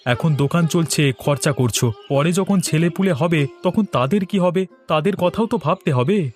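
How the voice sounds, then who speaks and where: Male actor as thrifty young cartoon husband, worried, reproachful, earnest, slightly high voice, music underneath. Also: normal speed, a little mellow, natural voice. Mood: worried, admonishing. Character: frugal, worried young newlywed shopkeeper husband. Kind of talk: Bengali cartoon voice acting.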